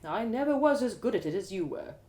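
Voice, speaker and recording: A woman's voice: a little high, big pitch swings, fast, warm tone, voice pushed up. A woman voicing a sly, haughty male elf who drawls with mocking politeness in a told fantasy story. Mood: silky, mocking. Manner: drawling, falsely polite, taunting.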